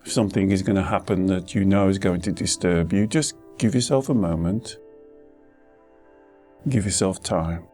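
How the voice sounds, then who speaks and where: A man speaking as a meditation teacher, giving gentle guided instruction in an unhurried, reassuring manner with pauses between short phrases. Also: sits low. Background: soft instrumental music.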